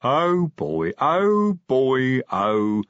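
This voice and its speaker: A man voicing a big, simple, loyal troll police sergeant who speaks in blunt, ungrammatical, rumbling phrases, as in an audiobook. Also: wildly swooping pitch, drawn-out words, dry recording, highs cut off, exaggerated voice. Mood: hesitant.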